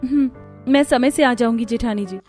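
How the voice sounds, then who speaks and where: A woman's voice: fairly high pitch, moderate pace, darkish tone, pitched above the performer's own voice, lightly acted. A woman voicing a timid, secretive young daughter-in-law in a cartoon story, hesitant when questioned, with music behind. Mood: flustered, evasive.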